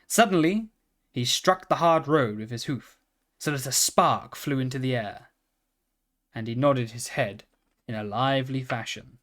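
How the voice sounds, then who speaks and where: A man reading a children's storybook aloud in a calm, steady manner. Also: mid-range voice, a little slow. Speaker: reader.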